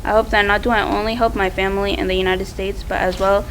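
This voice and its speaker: A woman's voice: mid-range voice, little pitch movement, fast, fairly bright tone, poor audio. Teenage female student, prepared personal speech, mid-range voice, faint noise behind. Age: teens. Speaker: student award presenter.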